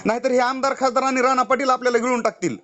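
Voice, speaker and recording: A man's voice: very high voice, fairly even intonation, racing, bright, forceful tone. A man making a heated, insistent, pleading complaint on a recorded phone call. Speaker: party youth-wing office bearer and activist.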